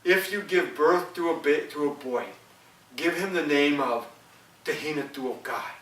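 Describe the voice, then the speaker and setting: A man's voice: a little high, measured pace, fairly bright tone. A man voicing a proud island husband in a folktale, giving orders in his own plain voice. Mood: commanding. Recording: echoey room, from far off.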